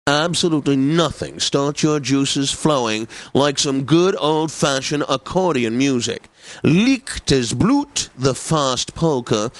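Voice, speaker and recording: A man's voice: mid-range voice, dry recording, close to an everyday voice. Man playing a pompous classical radio DJ, close and dry. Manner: pompous, flamboyant, precise announcing. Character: pompous, whimsical male classical music radio host. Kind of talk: theatrical radio announcing.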